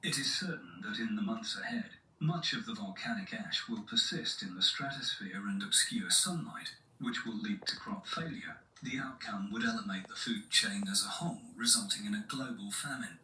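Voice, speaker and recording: A man's voice: lowish voice, lively intonation, moderate pace, hardly any pauses, bright, forceful tone. A man playing a grave government announcer, reading a formal, solemn emergency address to the nation. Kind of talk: scripted emergency broadcast. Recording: echoey, distant, faint hiss.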